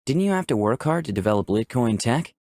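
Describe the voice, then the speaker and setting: Man as gentle young artist, animated pitch. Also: a little fast, no echo. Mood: earnest. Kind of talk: visual novel dialogue and first-person narration.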